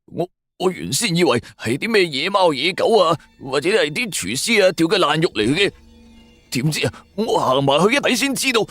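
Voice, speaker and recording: A man's voice: a little high, sing-song, normal speed, a bit bright, dry recording, soft background music, pitched above the performer's own voice. A man voicing a timid, honest railway worker who is nervous, shaken and stammering in an audio story. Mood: frightened, shaken.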